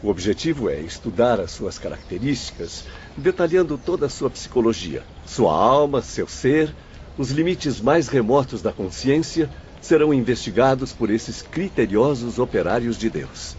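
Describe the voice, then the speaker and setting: A man's voice: medium pitch, big pitch swings, moderate pace, a little mellow, close to an everyday voice. A man playing a courteous spirit assistant. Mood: earnest. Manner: courteous, earnest. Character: cordial male spirit assistant. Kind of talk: audio drama acting. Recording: slightly dull audio, faint hiss.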